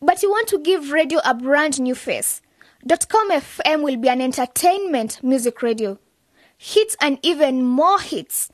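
A woman's voice: high-pitched, animated, unhurried, dry recording, studio quality. An actress playing a confident, idealistic young woman leading a youth club in a radio drama, with a persuasive, upbeat manner. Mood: enthusiastic, persuasive.

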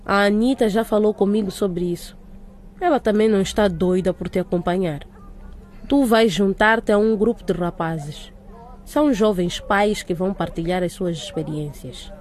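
A woman playing a female school director in a radio drama, with a mellow, unforced voice. She is stern and formal, laying down rules in a firm, measured way. Her authority is plain, yet she stays fair. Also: medium pitch, lightly acted.

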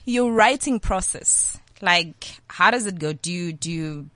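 A woman hosting an interview, asking questions with repeated starts and giving steady murmured agreement. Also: on the low side, unhurried, no room sound. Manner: affirming murmurs, repeats words when asking.

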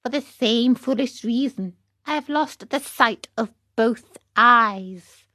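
A woman voicing a sly, cruel male cat who feigns blindness in a storybook, with drawn-out, self-justifying speech. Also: a little high, sharp tone, no echo, clean studio sound. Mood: mock-pitiful.

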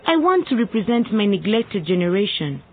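An actress playing an earnest, determined teenage daughter in a radio drama. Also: female voice, medium pitch, very animated, normal speed, narrow, tinny audio, close to an everyday voice. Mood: persuasive. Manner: earnest, speech-like persuasion. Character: earnest, determined nineteen-year-old daughter with political ambitions.

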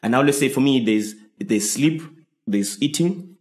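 A man from Zimbabwe talking in a casual interview, animated and often restarting his sentences. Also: medium pitch, normal speed. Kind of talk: casual conversation.

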